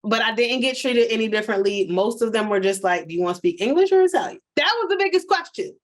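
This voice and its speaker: Animated woman podcast host. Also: fairly high pitch, quick pace. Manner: animated, addresses listeners directly, emphatic. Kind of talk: casual chat, storytelling.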